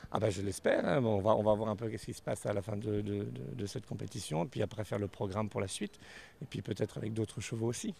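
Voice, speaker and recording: Halting man with a gravelly voice. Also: fairly low pitch, darkish tone, a little hiss or hum. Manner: halting, repeats words while thinking. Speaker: dressage rider. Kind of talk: post-competition interview. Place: outdoors at an equestrian stadium. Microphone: handheld reporter mic.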